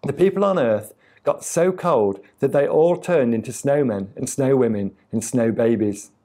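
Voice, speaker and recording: A man reads a children's story aloud in a relaxed, mellow voice. He takes his time over each phrase and delivers the tale expressively, as for young listeners at storytime. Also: mid-range voice. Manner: deliberate, expressive reading with acted sound effects. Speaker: storyteller reading a picture book aloud to children.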